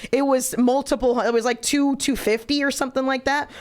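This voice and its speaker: Emphatic woman, slightly high voice. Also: fairly even intonation, fairly quick. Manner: emphatic, blunt, profane, explanatory. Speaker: comedian podcast guest. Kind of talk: casual comedic podcast chat.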